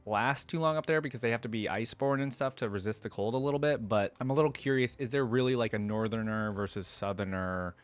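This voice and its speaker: A man hosting a reaction video, commenting casually and thinking out loud. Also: medium pitch, fast talker, hardly any pauses.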